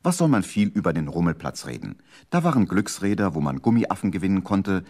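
A man's voice: deep voice, big pitch swings, a little fast, darkish tone, full character voice. A man narrating a children's radio play in a playful, dramatic storytelling manner.

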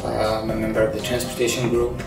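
A middle-aged engineering professor from Egypt explains his work, steady and unhurried, often pausing with an um or uh. His voice stays level and flat in pitch. He sits a little back from the mic in a big echoing control center, with music playing beneath. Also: creaky. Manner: measured, explanatory, many ums and uhs. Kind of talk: interview about his research. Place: large open-plan control center. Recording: roomy, distant sound with music under it.

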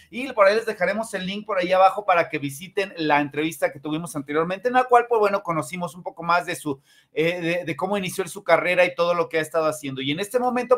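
A man's voice: sits high, a bit bright. A Mexican man in his forties chatting casually as a music show host, rambling and repeating his phrases. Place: indoor room, on a video call. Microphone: video call, wearing headphones.

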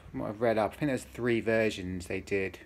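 A man's voice: lowish voice, a little fast. A man casually talking through a demonstration in a hesitant, rambling, self-correcting way. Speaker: video maker. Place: indoors at a cluttered desk. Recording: noisy room, clear background noise. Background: motor hum and neighbours' racket.

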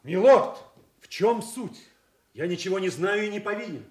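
An actor playing a mild, bewildered nobleman in a radio tragedy, earnestly protesting and pleading for calm. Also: high-pitched, normal speed. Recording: echoey radio theatre recording.

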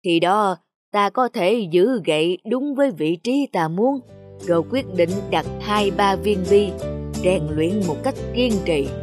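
A humble old countryman from a cartoon folk tale, played by a woman. He explains patiently and without hurry, over clearly audible music. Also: mid-range voice, animated, takes it slowly. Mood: patient, explaining. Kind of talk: scripted cartoon dubbing. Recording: music under the voice.

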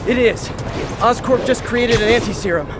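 An actor playing a young, earnest superhero in conversational video game drama. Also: male voice, very high-pitched, normal speed, over loud music. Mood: reassuring. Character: young male superhero, earnest, burdened, quippy.

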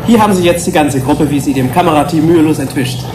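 A man's voice: fairly high pitch, fast talker, close to an everyday voice. An actor playing a research scientist in a German science-fiction radio play, explaining and fond of the creatures he studied. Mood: explanatory. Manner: explanatory, presenting film footage. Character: male research scientist, fond of his genetically made fish-human creatures. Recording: faint background noise, 1980s radio drama.

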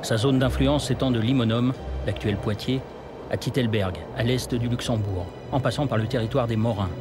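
A man narrating a historical documentary from a script, in a measured storytelling manner. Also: mid-range voice. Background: music.

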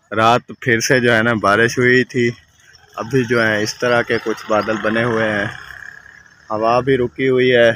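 A man with a Pakistani accent narrating and chatting casually as host of a family vlog. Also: mid-range voice. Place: outdoors in a village yard. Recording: outdoor phone recording with clear background noise.

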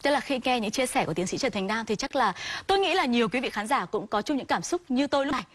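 A woman in her thirties hosting a TV studio interview, asking brisk, polite questions on behalf of parents, on a lapel mic. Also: on the high side, lively intonation. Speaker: TV morning show host.

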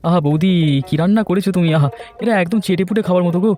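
A man voicing a friendly, flattering village customer in a cartoon, effusive and chatty. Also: high voice, very fast, soft background music, natural voice. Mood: effusive, pleased. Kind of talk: cartoon dubbing.

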